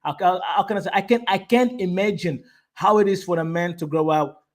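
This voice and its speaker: A man hosting a live online interview, excitable and repeating himself, often stopping to restart a phrase. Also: high-pitched. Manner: enthusiastic, repeats words, halting restarts. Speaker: host of a live online show. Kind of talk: casual interview.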